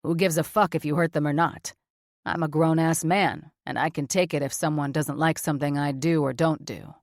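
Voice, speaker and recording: A cocky, no-nonsense male surgeon from an audiobook, as a woman narrator puts him on, dropping curses with cool, flat certainty. The voice sits low, and the recording is close and dry with no room sound. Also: moderate pace, no echo. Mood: blunt. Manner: profane, blunt, matter-of-fact. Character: blunt cocky male surgeon, adult man.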